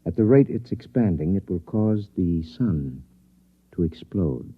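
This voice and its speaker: An actor playing a grave scientist in a 1950s radio drama, speaking in a formal, measured, solemn way. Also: male voice, deep voice, expressive, slightly rough, narrow, tinny audio, full character voice. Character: grave scientist professor bearing news of doom. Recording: thin band-limited vintage radio recording.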